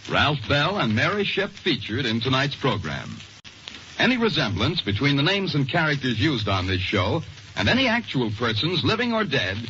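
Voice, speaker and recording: A man's voice: on the high side, pushed and bright, slight background noise. A man reading program credits and sign-offs as a 1940s radio announcer. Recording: dull vintage radio recording.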